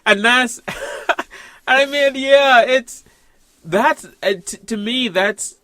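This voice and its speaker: Bright-voiced man from the Bahamas, reflective. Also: very high voice, no echo. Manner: reflective, halting, many restarts and fillers. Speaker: podcast co-host. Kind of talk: casual conversational film discussion.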